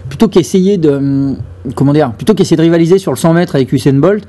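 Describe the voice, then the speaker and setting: A man from France, a guest comedian, chatting casually in an animated way, with many hesitations and restarts. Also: a little high, quick pace. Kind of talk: casual chat. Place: festival backstage room.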